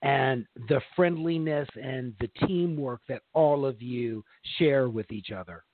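A man's voice: medium pitch, a little slow, dry recording. A man co-hosting a casual talk show, joking and talking over his partner. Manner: warm, joking, talks over others. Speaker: co-host. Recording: dry tinny phone line.

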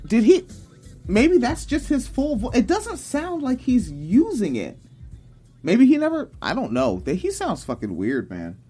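A man in his thirties with a fairly high-pitched voice, co-hosting a casual reaction podcast with profane, laughing banter. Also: measured pace, husky, soft background music. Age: 30s. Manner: animated, profane, laughs often. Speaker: podcast co-host.

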